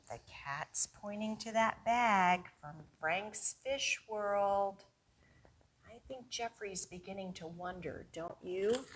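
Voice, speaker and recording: A woman's voice: medium pitch, fairly bright tone, slight background noise. A woman hosting a children's storytime, reading aloud expressively and coaxingly putting questions to young listeners.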